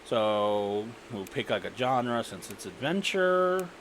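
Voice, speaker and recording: A man's voice: fairly low pitch, big pitch swings, slow, a bit bright, slight background noise, rough recording. A man giving casual, rambling commentary and stories while playing a game, with an irreverent, sweary manner. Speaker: gaming video creator narrating gameplay.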